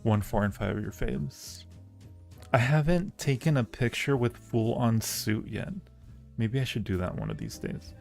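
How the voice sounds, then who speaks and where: A man's voice: medium pitch, sing-song, a little slow, creaky, darkish tone, faint music behind. A man hosting a live-stream Q&A, answering viewer questions casually with a playful, teasing and flirty manner. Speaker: audio roleplay creator hosting a live Q&A.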